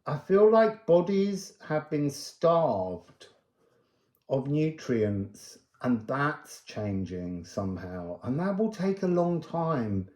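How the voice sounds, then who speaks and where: A man giving an unscripted spiritual card reading, musing aloud with pauses and emphatic phrases. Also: a little high, very animated, darkish tone, echoey room. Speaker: card reader and spiritual guide.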